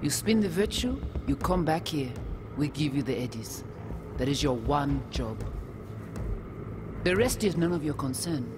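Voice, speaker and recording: An actor playing a terse, secretive gang fixer in a video game, giving curt orders. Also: male voice, animated, measured pace, long pauses. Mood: suspicious, stern. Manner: curt, commanding, clipped non-native phrasing. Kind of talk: video game dramatic acting. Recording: noisy ambience, vehicle-like rumble.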